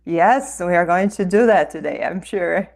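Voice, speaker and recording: A woman from Romania with a Romanian accent, speaking earnestly and warmly as an author guest in an interview. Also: fairly low pitch, moderate pace, gravelly. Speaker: author and life coach guest. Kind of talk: interview conversation.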